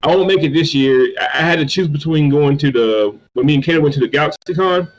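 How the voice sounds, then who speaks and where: A man from North Carolina talks as a guest in a relaxed interview, tripping over his words and repeating them often. His voice sits a bit higher than most men's. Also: fast talker, dull audio. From North Carolina, USA. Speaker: guest, toy reviewer. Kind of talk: casual interview chat.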